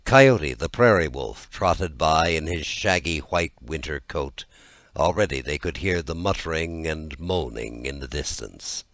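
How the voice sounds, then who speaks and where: A man with a low voice narrates a fairy tale, his intonation rising and falling freely. The delivery is measured and expressive, and the sound is dry and close, with very little room. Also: dry recording. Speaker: audiobook narrator.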